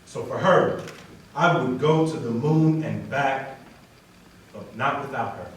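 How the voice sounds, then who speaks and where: A man's voice: mid-range voice, takes it slowly. A man delivers a dramatic spoken-word reading. He is heard from a distance in a heavily echoing room, over faint background noise. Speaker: wedding reader. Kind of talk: dramatic spoken-word recitation, reader's theater. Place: large echoey room at a wedding. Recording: echoey hall, distant mic, faint noise.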